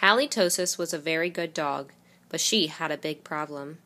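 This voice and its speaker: A woman reading a children's picture book aloud in an expressive storytelling way. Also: low voice, normal speed. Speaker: reader.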